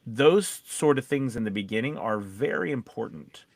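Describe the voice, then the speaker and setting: A man's voice: mid-range voice, lively intonation, moderate pace, gravelly, dry recording. A man hosting a casual podcast discussion, talking in a rambling, conversational way and posing questions. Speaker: podcast host and voice-over coach.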